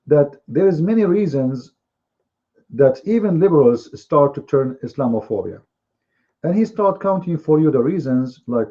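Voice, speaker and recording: A man whose first language is Arabic, hosting a live online commentary show, with emphatic, repetitive speech and drawn-out stresses. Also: mid-range voice, warm tone, highs cut off.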